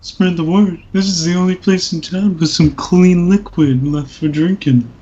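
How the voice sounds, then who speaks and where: A man's voice: high-pitched, fairly even intonation, normal speed, mellow, dull audio. A man trading casual banter as a player in a tabletop roleplaying game.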